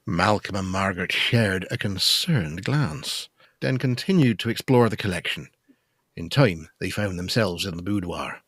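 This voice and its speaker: A man's voice: low voice, animated, measured pace, a bit bright, breathy edge, dry recording. A man narrating a gothic audio drama in a scripted storytelling style.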